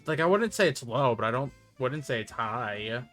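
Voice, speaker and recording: A young man chats easily on a game stream, mostly agreeing with short fillers. His voice has a bright, sharp edge, and faint music plays behind him. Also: medium pitch, expressive, normal speed. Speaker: streamer co-host. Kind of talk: casual chat on a game stream.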